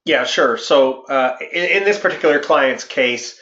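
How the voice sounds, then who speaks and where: A roomy, slightly dull conference-call recording of a man with a mid-range voice. He talks clients through a presentation as a financial advisor, haltingly, often doubling back on his words. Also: mid-range voice, lively intonation, pushed and bright. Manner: halting, hesitant delivery with repeated words. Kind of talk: informal slide presentation to clients. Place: indoor room on a conference call.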